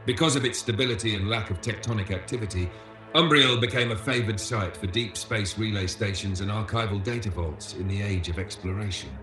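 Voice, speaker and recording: A man with a fairly low voice delivers scripted documentary narration. His manner is measured and solemn, like a tour guide presenting an educational film. The voice sounds roomy and reverberant, with music playing clearly behind it. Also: bright, forceful tone.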